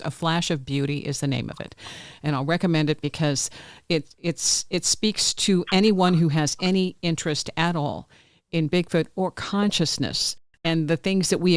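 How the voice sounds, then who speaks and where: A podcast host with a smooth, resonant voice, recorded dry and close. The host talks earnestly and in a chatty way, often nodding along with quick agreement. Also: mid-range voice, no room sound. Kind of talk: casual interview chat.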